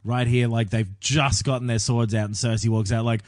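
A man co-hosting a casual chat, retelling scenes with lively asides and quick impressions of characters. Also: mid-range voice, no echo, clean studio sound. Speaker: podcast co-host. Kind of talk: casual chat recapping a TV episode.

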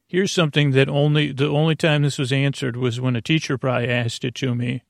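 A man hosting a sleep podcast with a creaky voice, giving a halting, meandering monologue that drifts into tangents. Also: medium pitch, level tone, no echo, studio quality. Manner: halting, digressive, trails off into tangents. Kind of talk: rambling, meandering bedtime monologue.

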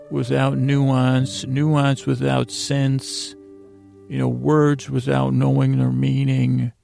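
A man hosting a sleep podcast in a soothing, rambling bedtime monologue, hesitant and meandering, with long pauses and stammered restarts and a gravelly voice. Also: medium pitch, mellow, no echo. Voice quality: creaky, gravelly. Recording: faint music under a dry voice.

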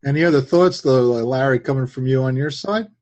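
A man with a New Jersey accent hosting a casual religious talk show, speaking in a hesitant, halting way. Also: medium pitch, darkish tone. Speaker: host. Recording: dull lo-fi sound.

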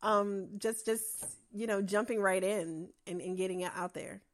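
A woman's voice: medium pitch, steady tone, normal speed. A woman interviewing a guest in a casual, enthusiastic way, with many fillers and restarts. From lives in Atlanta.

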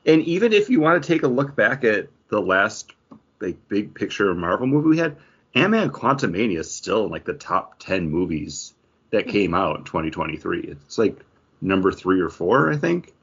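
A man's voice: on the low side, very animated, moderate pace, fairly bright tone, husky. A man co-hosting a podcast, chatting casually about pop culture. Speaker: podcast co-host. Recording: dull, lo-fi audio.

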